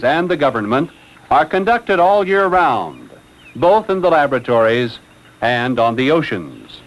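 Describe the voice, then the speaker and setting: A man narrating a scripted 1960s educational film in a formal, measured, expository manner. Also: a little high. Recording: noisy old 1960s film soundtrack.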